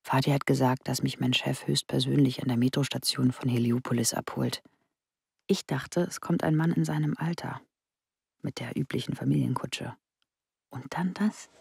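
A woman's voice: a bass voice, steady tone, normal speed, creaky, a little mellow, husky, heavily acted. An actress in a radio play voicing a curious, direct young woman who is new at her first job. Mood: confiding, impressed. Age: young adult. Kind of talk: radio play dialogue and first-person narration.

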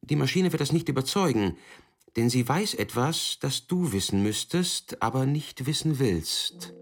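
A man playing an explainer in a literary radio play, emphatic and didactic, addressing the listener directly in a husky voice. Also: mid-range voice, animated, a little slow. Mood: pointed, knowing. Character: male explainer speaking for a loving automaton.

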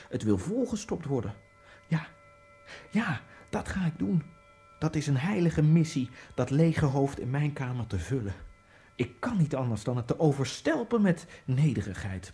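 An actor playing a nervous, wryly ironic Egyptian immigrant, narrating his thoughts in a hushed first-person monologue. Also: medium pitch. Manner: hushed, brooding inner monologue.

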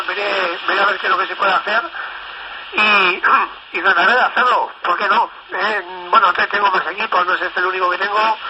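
A man chatting casually over CB radio, enthusiastic and rambling, often repeating himself. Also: high voice. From northern Spain. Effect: FM CB radio transmission. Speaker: amateur CB radio operator. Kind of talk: casual radio contact chat. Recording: noisy, dull CB radio transmission. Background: loud radio static and noise.

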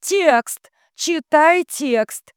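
A woman voicing a hysterical, heavy female psychic medium who wails, bellows and grumbles in drawn-out anguished calls. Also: high voice, expressive, no room sound, studio quality, pitched above the performer's own voice. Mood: strained, insistent.

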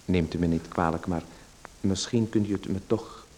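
An actor playing a nervous, overly polite playwright in a radio play, apologetic and stammering. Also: male voice, deep voice, fairly even intonation, moderate pace, darkish tone, a little hiss or hum, lightly acted.

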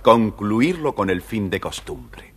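A man's voice: fairly low pitch, big pitch swings, slow, husky. A man narrating a scripted children's story as the storyteller. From Argentina.

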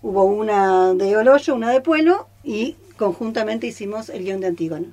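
A woman's voice: mid-range voice, animated, normal speed, mellow. A woman talking casually as part of a group interview in a radio studio. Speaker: radio theatre group member.

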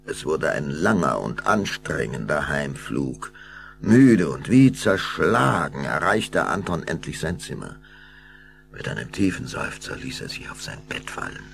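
A man narrating a radio play as a grave, expressive storyteller. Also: extremely low pitch, measured pace, vocal fry, slight background noise, full character voice. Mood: suspenseful.

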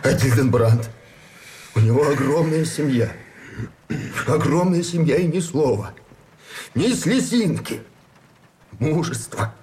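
An actor playing a frightened, gentle village teacher turned soldier in a radio drama, speaking haltingly and plaintively. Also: male voice, fairly high pitch, expressive, slight background noise. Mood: anguished. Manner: halting, plaintive, repeats phrases. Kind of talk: Russian radio drama acting. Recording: music behind the voice.

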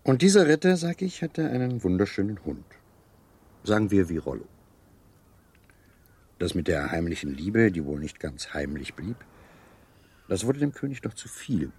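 A suave officer and charmer in a radio drama. His low, husky voice rises and falls widely as he savours every phrase with theatrical, teasing gallantry. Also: sing-song, moderate pace, pauses often, darkish tone, exaggerated voice. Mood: suave, dramatic storytelling. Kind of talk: German radio play acting.

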